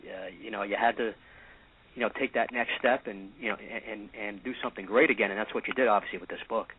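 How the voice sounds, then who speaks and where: Quick, raspy male co-host on thin audio. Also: fairly low pitch, fairly even intonation. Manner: halting, repeats words, leans on "you know". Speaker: radio show co-host. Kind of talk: casual interview chat. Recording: narrow phone-like radio audio.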